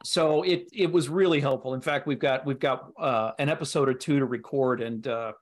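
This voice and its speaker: A man's voice: mid-range voice, normal speed. A middle-aged man with a mid-range voice chats on a video call. He rambles informally through his answers and has a salty, unpolished way of putting things. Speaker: guest, audio drama voice actor. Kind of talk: casual interview chat. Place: indoor room, video call.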